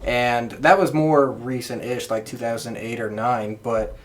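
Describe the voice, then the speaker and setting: A man's voice: medium pitch, fairly even intonation, unhurried. Young man in casual podcast chat. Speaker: wrestling podcast host. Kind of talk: casual chat, recounting memories.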